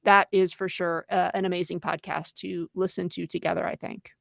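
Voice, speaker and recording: A woman's voice: fairly low pitch, level tone, moderate pace, gravelly, fairly bright tone, no room sound, clean studio sound. A woman co-hosting an educational webinar, giving recommendations in an earnest, encouraging, conversational way. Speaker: educator and co-host.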